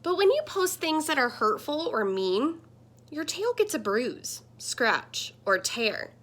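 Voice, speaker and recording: A woman voicing a friendly, earnest talking computer screen that gently scolds, in a children's picture book. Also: high voice, big pitch swings, slow, a little hiss or hum, heavily acted. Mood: earnest, warning. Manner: earnest, explanatory.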